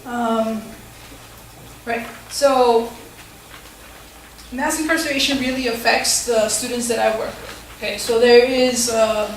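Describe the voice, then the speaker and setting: A woman's voice: a little high, fairly even intonation, takes it slowly, a little hiss or hum. A young woman teacher and community organizer giving a casual forum talk, full of fillers and tag questions. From Tucson, Arizona. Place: school hall. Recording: echoey hall, far from the mic.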